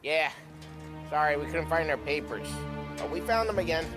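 An actor playing a hot-headed, wisecracking mobster with mouthy streetwise talk in a cinematic crime game. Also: male voice, fairly high pitch, normal speed, close to an everyday voice. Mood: teasing. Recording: loud music behind.

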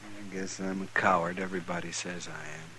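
An American actor playing an ashamed, self-pitying failed homesteader with a drinking problem in a 1950s radio western. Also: male voice, deep voice, level tone, pushed and bright. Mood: defeated, ashamed. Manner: halting, self-pitying, stammering. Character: ashamed, broken-down alcoholic failed homesteader on the frontier. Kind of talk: 1950s radio drama acting. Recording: hissy 1950s radio recording.

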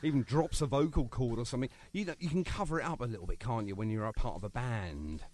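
A man's voice: medium pitch, quick pace, crowd noise. A man hosting a casual radio interview, jokey and rambling, with lots of fillers. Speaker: radio show host. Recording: phone interview on online radio.

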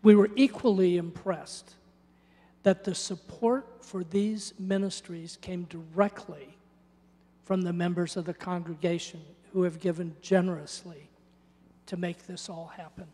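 A man's voice: high-pitched, fairly even intonation, unhurried, dark tone. An older man, born in Norway, giving an earnest church testimony into a handheld mic. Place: church sanctuary. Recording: church hall reverb.

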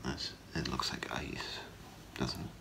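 A middle-aged man whispering softly and haltingly in an ASMR role play as a gemologist talking a client through an appraisal. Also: steady tone. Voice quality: breathy low whisper with gravelly creak. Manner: hushed, unhurried, halting, explaining gently to a client. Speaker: ASMR performer playing a gemologist. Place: small indoor room, seated before a curtain.

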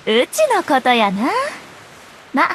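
A woman's voice: high-pitched, expressive, takes it slowly, a bit bright, slight background noise, full character voice. A woman playing a breezy, teasing young journalist and playful liar in an anime game, speaking in a coquettish, lilting Kansai dialect. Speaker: game voice actor. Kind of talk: Japanese anime game voice acting.

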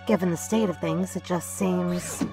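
An unhurried, creaky-edged voice a woman acting for a sassy robot agent in a cartoon. She is flirty and cheeky, with music clearly playing behind her. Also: on the low side, fairly even intonation. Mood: flirty, teasing. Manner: teasing, cheeky. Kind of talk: animated sci-fi character acting.

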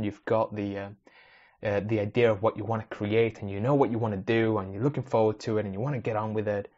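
A man's voice: lowish voice, a little mellow. Rambling man with a lo-fi podcast sound. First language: Spanish. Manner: rambling, self-correcting, thinking aloud. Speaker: podcast host. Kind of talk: casual solo podcast talk.

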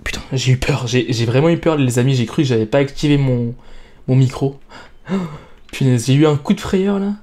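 A man's voice: mid-range voice, moderate pace, mellow. A young man giving casual gameplay commentary in a playful, self-mocking way. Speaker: let's play gamer.

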